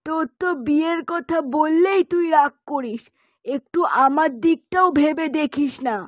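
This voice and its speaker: A woman's voice: high voice, normal speed, no room sound, clean studio sound, lightly acted. A woman voicing a nagging, worried widowed mother of a grown son in a moral-story cartoon, chiding and complaining.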